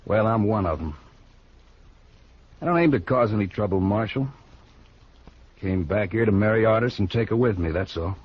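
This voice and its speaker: Returning gunslinging cowboy: husky, low, defiant and quietly dangerous, vintage radio drama. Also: male voice, lively intonation, normal speed, long pauses. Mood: guarded, defiant. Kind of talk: 1950s radio western acting. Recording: muffled old radio recording.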